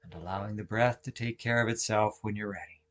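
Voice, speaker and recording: Low-voiced man guiding a meditation. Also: fairly even intonation. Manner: measured, gentle, with short phrases and long pauses. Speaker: meditation instructor. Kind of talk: guided meditation, slow spoken instruction. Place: online video call session.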